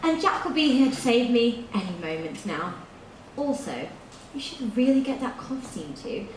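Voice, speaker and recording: A woman's voice: fairly high pitch, sing-song, normal speed, slight background noise. A woman playing a plucky, cheeky, lovelorn young captive heroine in a theatrical stage pantomime. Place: theatre stage before an audience. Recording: echoey theatre, distant stage pickup.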